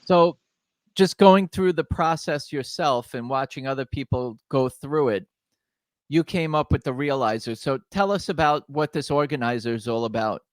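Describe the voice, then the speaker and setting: A man's voice: medium pitch, moderate pace, no echo. A man hosting an invention interview show, asking loose, rambling questions. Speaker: show host.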